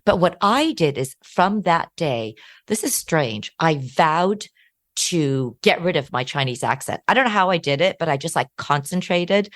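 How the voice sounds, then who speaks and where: A middle-aged woman from the United States, a guest telling family stories in an interview, with lively acted quotes. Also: deep voice, moderate pace, dry recording, clean studio sound. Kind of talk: interview storytelling.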